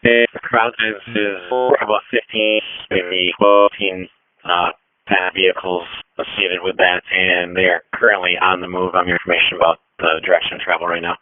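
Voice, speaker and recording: A man coordinating air operations over a police two-way radio, speaking procedurally with halting pauses. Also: low-pitched, flat delivery. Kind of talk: radio dispatch traffic. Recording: narrowband, dull police radio transmission.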